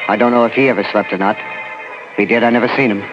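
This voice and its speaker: An actor playing a frightened, submissive, uneducated working man with a halting, folksy, ungrammatical way of talking in a 1940s radio horror drama. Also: lowish voice, steady tone, lightly acted. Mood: shaken recounting. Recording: dull old 1940s radio recording, music.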